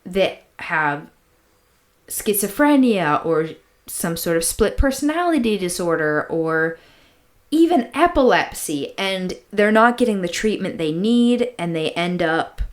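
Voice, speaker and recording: A woman's voice: fairly low pitch, lively intonation, measured pace. A woman co-hosting a podcast, chatting and telling stories in an informal, slangy way with emphatic repetition. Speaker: podcast co-host. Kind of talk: casual chat, storytelling.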